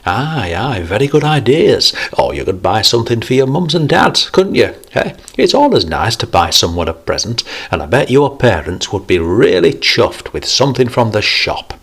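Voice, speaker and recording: A man's voice: medium pitch, very animated, moderate pace, vocal fry, few pauses, fairly bright tone, breathy edge, pitched above the performer's own voice, heavily acted. A man voicing a jovial, preachy older man, raising his voice above his narration. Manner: pleading, then cheery and coaxing.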